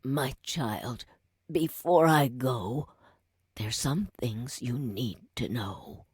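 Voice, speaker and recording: An actress playing a frail, dying old grandmother in a video game, solemn and pleading, with a breathy, husky voice. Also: extremely low pitch, a little mellow, slight background noise, heavily acted. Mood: grave, weak. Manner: slow, solemn, pleading storyteller delivery. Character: frail old dying grandmother, urgent, remorseful, mystical mentor. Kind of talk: dramatic video game voice acting.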